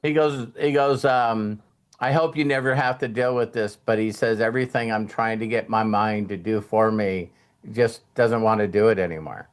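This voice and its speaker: A man's voice: on the low side, steady tone, clear, resonant tone. A male host talks informally to his online community. His delivery is heartfelt and conversational, and he often stops and repeats a phrase to stress it. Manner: halting, repeats phrases for emphasis. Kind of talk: casual, heartfelt group conversation.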